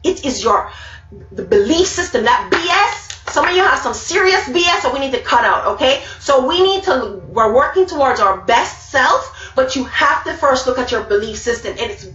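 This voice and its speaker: A woman's voice: high-pitched, animated, moderate pace, fairly bright tone, faint music behind. A middle-aged woman with a Jamaican accent gives a motivational Bible talk to a live group, emphatic and repeating key words for stress. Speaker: speaker. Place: small room indoors. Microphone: webcam at arm's length. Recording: roomy, distant, dull webcam sound.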